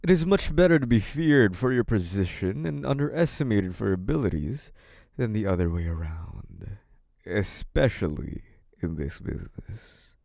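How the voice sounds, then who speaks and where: Man acting a young mafia heir, creaky and expressive. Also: lowish voice, normal speed, no echo, studio quality. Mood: smug, menacing. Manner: hesitant and apologetic act, turning smug and taunting. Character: young mafia heir, feigned naive politeness hiding cunning, possessive menace. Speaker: audio roleplay performer. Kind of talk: dramatic first-person audio roleplay.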